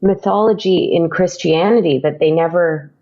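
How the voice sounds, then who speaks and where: A woman talks easily as a podcast guest, her voice carrying a gravelly rasp. She is chatty, fills gaps with "um" and "like" and laughs often. The recording is dull and lo-fi. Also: on the low side, moderate pace, dark tone. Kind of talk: casual interview chat.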